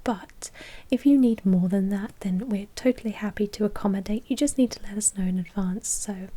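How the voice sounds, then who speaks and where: A woman voicing a polite, obliging vampire blood bank manager in a soft, whispered ASMR roleplay, with courteous customer-service patter. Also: medium pitch, normal speed, natural voice. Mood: polite, businesslike.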